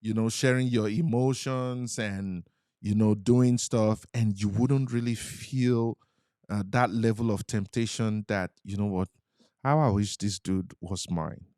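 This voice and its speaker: A man, a Yoruba speaker, co-hosting a podcast in casual chat, animated and acting out quoted lines with frequent laughs. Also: lowish voice, measured pace, smooth, dry recording, studio quality. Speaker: podcast co-host.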